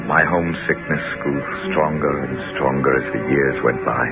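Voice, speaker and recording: A man's voice: rumbling low, steady tone, normal speed, over loud music. An actor playing a wily, homesick Greek warrior king in a 1970s radio drama. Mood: resigned. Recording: dull, noisy old radio broadcast recording.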